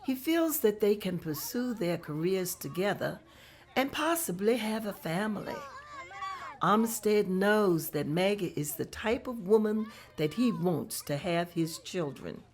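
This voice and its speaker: A woman narrating the scene directions at a table read in a plain, steady manner. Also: mid-range voice, unhurried. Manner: plain, steady descriptive reading. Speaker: narrator reading stage directions.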